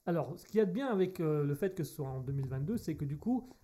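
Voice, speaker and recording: A man's voice: a little high, animated, quick pace, dark tone. A man co-hosting a humorous radio show, telling a story and trading jokes in playful banter. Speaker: radio co-host.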